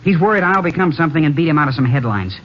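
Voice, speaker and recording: Actor as cocky young jockey, lively swooping delivery. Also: male voice, on the high side, very fast, natural voice. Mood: bitter, heated. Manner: clipped, confrontational. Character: cocky, resentful young male jockey, defiant and bitter. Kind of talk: old-time radio drama acting. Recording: muffled, faintly noisy vintage radio recording.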